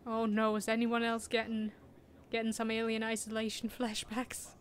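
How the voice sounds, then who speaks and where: A woman's voice: fairly high pitch, completely flat delivery, normal speed. Chatty German woman streaming a game. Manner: chatty, laughs often, reads chat aloud. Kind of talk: casual gameplay commentary.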